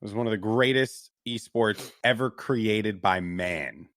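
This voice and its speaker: Animated low-voiced man telling a story. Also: measured pace, no room sound, studio quality. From New York, USA. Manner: animated storyteller, raises pitch when quoting others. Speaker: podcast co-host, esports commentator. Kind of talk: casual storytelling chat.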